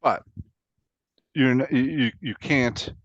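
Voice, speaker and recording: Mid-pitched man, dull dry recording. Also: slow, no room sound. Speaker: co-host asking questions. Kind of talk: casual chat, questioning.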